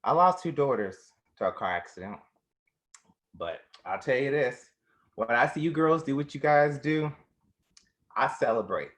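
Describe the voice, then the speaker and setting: A man's voice: mid-range voice, lively intonation, normal speed, long pauses, fairly bright tone, close to an everyday voice. A middle-aged man acting a monologue as a big-hearted, grieving garbage man, earnest and plain-spoken. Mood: sorrowful. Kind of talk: acted dramatic monologue.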